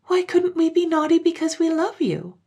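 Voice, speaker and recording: A woman voicing two tearful little sisters who plead in high, sobbing voices. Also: big pitch swings, normal speed, gravelly, warm tone, heavily acted.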